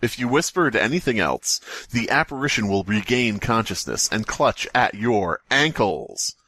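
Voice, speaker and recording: A man's voice: on the low side, animated, measured pace, bright, forceful tone. A man co-hosting a casual comedy podcast, reading horror stories aloud with playful joking asides. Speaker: podcast co-host.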